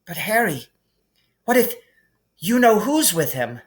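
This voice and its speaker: Guy doing a bright keen schoolgirl witch for a storybook, pitched up, brisk and emphatic. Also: sing-song. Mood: anxious.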